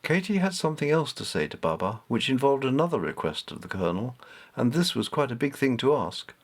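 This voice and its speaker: Male narrator for a storybook: medium-pitched, measured and expressive, with lively melodic delivery. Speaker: author reading his own novel.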